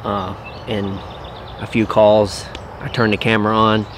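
A young man talks low and flat, without much lift in his voice, as he recaps a hunt in a loose, rambling way. He's outdoors near the woods, recorded on a camera mic, with a faint outdoor hush behind him. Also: slow pace, slight background noise. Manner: rambling, repeats words, plain-spoken. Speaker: turkey hunter. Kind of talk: casual hunting vlog recap.

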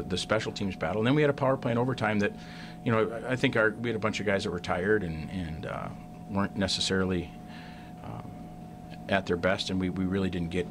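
A middle-aged hockey coach speaks into a desk mic at a press conference, his voice sitting on the low side. He talks in halting bursts, doubling words and restarting sentences. A faint rumble hangs under the room. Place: press room in front of a sponsor backdrop. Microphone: desk mic on a stand.

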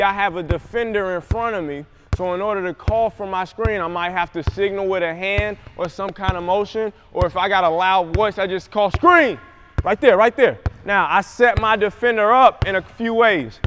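High-voiced young basketball coach. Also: male voice, slight background noise. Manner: energetic, shouts calls on court. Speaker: basketball trainer. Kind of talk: coaching demonstration. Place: large indoor gym.

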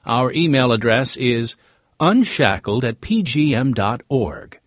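A man announcing a scripted radio program as its host, with measured, deliberate enunciation. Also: mid-range voice, animated, no room sound, studio quality.

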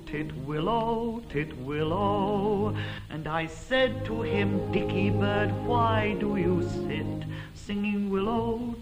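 A man's voice: high-pitched, rough recording. A man playing a timid, lovesick comic suitor in an operetta, pleading in patter and singing a mournful ballad. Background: orchestra.

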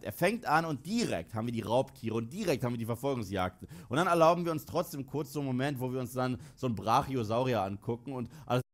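A man giving a comic film review to camera, incredulous and sarcastic, acting out imagined dialogue. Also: medium pitch.

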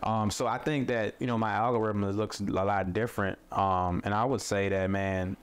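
A man who makes mental health content, talking thoughtfully in a relaxed conversation, with many fillers and restarts. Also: on the low side, moderate pace, smooth. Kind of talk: casual conversation. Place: studio set with a table.

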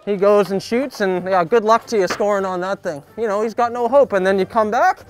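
A young man outdoors giving a jokey sports tutorial, coaching in a mock-serious, deadpan way full of hockey slang. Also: high voice, brisk, smooth. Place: backyard, outdoors. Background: music.

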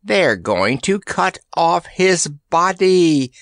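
A man's voice: on the high side, sing-song, slow pace, a bit bright, dry recording, clean studio sound, pitched above the performer's own voice, heavily acted. A man voicing an oily, menacing flying headsman monster that gloats and chatters in a storybook. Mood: gloating menace.

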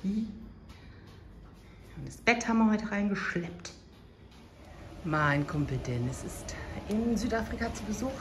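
A woman's voice: mid-range voice, expressive, measured pace, pauses often, noisy background. A woman in her thirties talking casually to her phone camera at arm's length, chatty and at times indignant. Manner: chatty, indignant when complaining. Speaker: vlogger. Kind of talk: casual vlog talk to camera. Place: indoors at home and in shops, some outdoors.

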